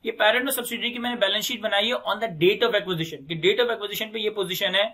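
A man with a notably high, bright and forceful voice delivers a lecture in a Pakistani accent. He explains step by step as an accounting teacher, repeating key phrases for emphasis and dropping in English technical terms. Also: steady tone, brisk, slightly rough. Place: indoors, recorded screen lecture at a desk.

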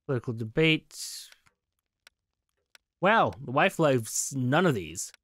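A man's voice: medium pitch, very animated, slow, fairly bright tone, dry recording. A man doing casual game commentary in a musing, curious, hesitant way as he plays and reads along. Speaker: gaming video host. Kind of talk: casual game commentary mixed with reading dialogue aloud.

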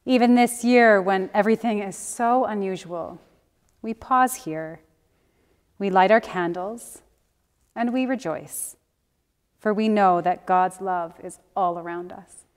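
Woman worship leader, medium pitch, formal measured liturgical delivery, church hall reverb. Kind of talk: scripted liturgical reading. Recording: reverberant hall, faint music.

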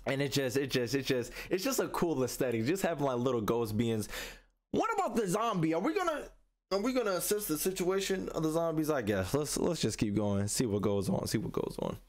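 A man's voice: on the high side, big pitch swings, a little fast. A man in his twenties with an American accent, giving casual, slangy commentary as a reaction host and repeating phrases for emphasis. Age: 20s. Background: game trailer music and sound.

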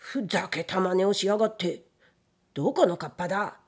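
Woman acting a jumpy, gruff samurai gatekeeper. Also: mid-range voice, sing-song, a little slow, dark tone, heavily acted. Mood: scared bluster. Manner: rough-spoken muttering and trembling bluster. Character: jumpy, gruff male samurai gatekeeper, blustering while scared. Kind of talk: dramatic storytelling of a period novel.

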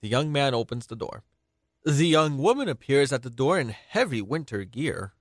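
A man's voice: mid-range voice, sing-song, moderate pace, no echo, clean studio sound. A man as narrator, reading scripted stage directions for a radio play. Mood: matter-of-fact.

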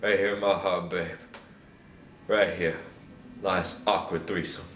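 A man playing a drunk, lovesick, melodramatic young guy, rambling haltingly and repeating himself as if on a comic voicemail. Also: low-pitched, flat delivery, a bit bright. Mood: drunken rambling. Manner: slurred, halting, repetitive, overwrought. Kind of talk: comedic rambling voicemail monologue. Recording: roomy, distant, noisy home recording.

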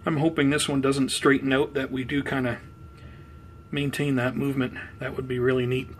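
Guy doing a chill home vlog voiceover, conversational, not rushed, bit of room noise in the back. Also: medium pitch, flat delivery. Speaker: plant hobbyist vlogger. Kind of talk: casual narrated show-and-tell. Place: indoors at home by plant shelves. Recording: clear background noise, room ambience.

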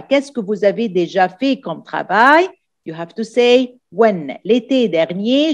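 Slow-paced woman teaching French. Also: a little high. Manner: repetitive, checks in with "d'accord?" often. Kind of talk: exam-prep lesson explaining in English and French. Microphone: voice-over on screen recording.

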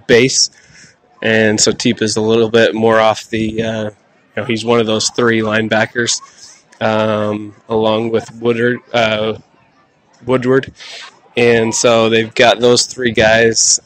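Flat-voiced man musing on football. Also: fairly low pitch. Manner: halting, lots of ums and restarts. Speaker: podcast host. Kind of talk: casual solo sports commentary.

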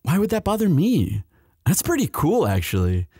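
A man voices a young male demon student, sweet and protective, in an intimate audio roleplay. His voice is soft-edged and husky, with lively pitch movement. It is recorded dry and close. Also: moderate pace, dry recording, exaggerated voice. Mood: flustered, smitten. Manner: hesitant stammering when shy, firm when angry.

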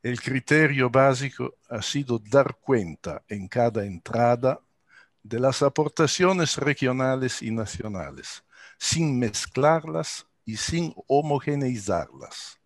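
An older man with an Italian accent, a native Italian speaker, giving a formal prepared speech as a psychoanalyst, measured and careful with hesitant fillers, on a headset mic over a video call from a home study. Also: mid-range voice, expressive, dry recording.

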